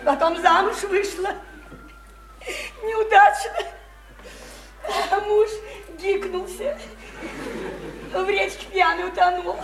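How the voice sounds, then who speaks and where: An actress playing a brash, boozy, chattering widowed house painter, flirty and slangy, in a radio play. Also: female voice, very high voice, steady tone, takes it slowly, long pauses, echoey room, music in the background, full character voice. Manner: flirty, teasing, folksy and slangy. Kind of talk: theatrical radio play acting.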